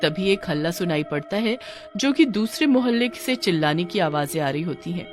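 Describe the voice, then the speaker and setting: A storyteller delivers scripted horror narration in a dramatic style. The intonation is lively and varied, and music plays clearly behind the voice. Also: mid-range voice, normal speed.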